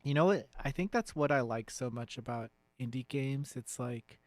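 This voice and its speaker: A man's voice: medium pitch, moderate pace, no echo. A man giving casual, off-the-cuff commentary as a streamer, blunt and sweary, rambling and often trailing off mid-thought.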